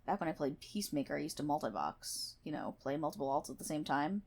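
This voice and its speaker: A woman's voice: deep voice, lively intonation, quick pace, darkish tone, a little hiss or hum. A woman playing a prickly, sarcastic guild healer in an online game, scolding and swearing one-on-one in an ASMR roleplay. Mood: matter-of-fact. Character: brash, prickly tsundere MMO guild healer, a woman gamer.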